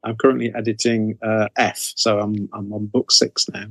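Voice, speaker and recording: A man's voice: lowish voice, level tone, moderate pace, fairly bright tone, no room sound. A man giving casual interview answers in a hesitant way, often repeating and correcting himself as he explains. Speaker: interview guest, author and anthology editor.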